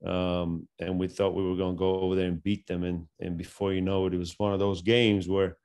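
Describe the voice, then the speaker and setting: A man's voice: sits low, level tone, a little mellow. A middle-aged man with a Bulgarian accent, a strength coach telling his story in a casual interview, full of fillers and restarts. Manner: halting, many fillers and restarts. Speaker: strength and conditioning coach, guest.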